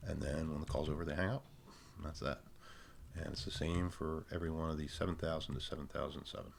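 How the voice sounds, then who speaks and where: A man giving a casual, unscripted technical walkthrough, thinking aloud in an informal, halting way. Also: very deep voice, steady tone, vocal fry, a little hiss or hum. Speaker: presenter of a technical tutorial.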